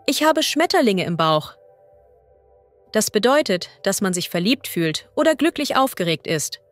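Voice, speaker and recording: A woman as a language teacher reading idioms and vocabulary slowly and clearly. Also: mid-range voice, sing-song, dry recording, soft background music. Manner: slow, clear and deliberate.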